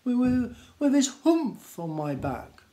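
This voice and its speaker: A man's voice: very high voice, very animated, slow, mellow, pitched above the performer's own voice, heavily acted. A man voicing an idle, proud, sulky camel in a children's storybook, grumpy and dismissive. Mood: protesting.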